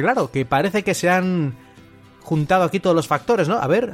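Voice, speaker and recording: A male podcast host with an accent from Spain speaks in a somewhat high voice. He narrates alone in a conversational, storytelling style. Faint music plays behind him. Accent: Spanish from Spain. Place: at home.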